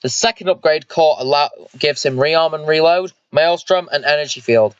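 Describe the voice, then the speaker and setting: A man's voice: on the high side, moderate pace, clear, resonant tone, dry recording, lo-fi sound, lightly acted. A man voicing an eager, vengeful squad leader and mech pilot in an amateur sci-fi story, informal and explanatory. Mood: instructive.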